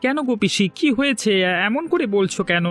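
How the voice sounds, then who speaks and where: Henpecked village father for audio melodrama played by a man: high male voice, plaintive, worried, resigned, music underneath. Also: sing-song, brisk, a bit bright, exaggerated voice. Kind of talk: melodramatic Bengali audio story acting.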